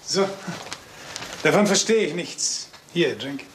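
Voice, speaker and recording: An actor playing a polite, cultured gentleman murderer in a German radio crime drama. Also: on the high side, animated, slow pace, a little hiss or hum. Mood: polite, curious.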